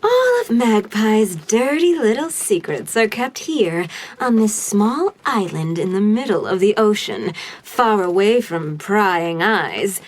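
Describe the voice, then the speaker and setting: A woman's voice: medium pitch, sing-song, takes it slowly. A woman playing a smug, theatrical crew leader of thieves in an audio drama, teasing and self-assured. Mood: smug, playful. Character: suave, playful female master thief, crew leader.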